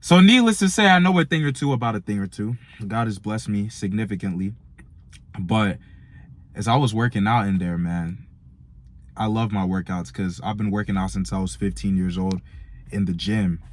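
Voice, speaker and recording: A man's voice: on the low side, moderate pace. A nineteen-year-old man with an American accent talking casually in a motivational vlog, slangy and speaking directly to his listeners. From Nigerian-born, grew up in America from age four. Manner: slangy, direct address to young men, "bro" and "man". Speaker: motivational vlogger.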